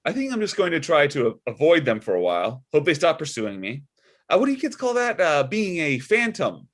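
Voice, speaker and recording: A man's voice: fairly high pitch, huge pitch swings. Man playing a hesitant, earnest deacon dad. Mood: dry, awkward. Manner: hesitant, halting. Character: awkward, earnest widowed father, a church deacon. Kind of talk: comedy script table read.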